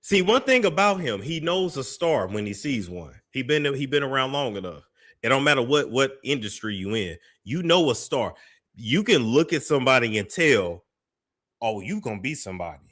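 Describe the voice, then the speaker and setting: A man's voice: medium pitch, big pitch swings, normal speed, fairly bright tone. A man hosting a commentary show, reacting to clips in a gossipy, skeptical way. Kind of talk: reaction commentary on a played clip.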